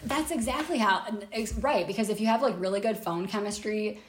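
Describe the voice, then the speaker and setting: A woman's voice: mid-range voice, brisk, echoey room, slight background noise. A woman hosting a casual podcast, telling a story from memory in a rambling, laughing way. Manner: rambling, many fillers and restarts, laughs easily. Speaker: podcast host.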